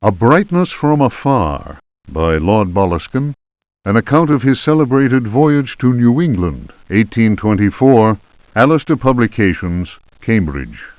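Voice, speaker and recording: A man's voice: mid-range voice, sing-song, unhurried, a little mellow, dry recording. A man narrating for a horror game, formally and dramatically announcing a book's title page. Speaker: narrator reading book title.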